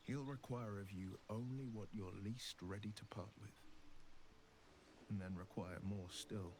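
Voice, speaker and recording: A man playing an earnest hero in a video game, telling things in a measured, solemn way and wary of devils. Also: fairly low pitch, steady tone, a little mellow, a little hiss or hum, natural voice. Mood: grave warning. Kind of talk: video game dialogue acting.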